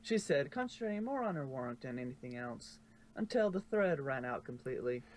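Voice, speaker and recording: Male narrator, scripted storytelling, medium pitch, faint background noise. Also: big pitch swings, a little fast, darkish tone, poor audio. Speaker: narrator of a fan fiction reading.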